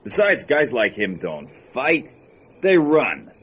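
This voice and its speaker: A man's voice: medium pitch, huge pitch swings, slow, a bit bright, exaggerated voice. An actor playing a cold, cocky hitman who talks tough gangster slang in an old-time radio thriller, menacing and sneering. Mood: cocky menace. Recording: dull, noisy lo-fi radio recording.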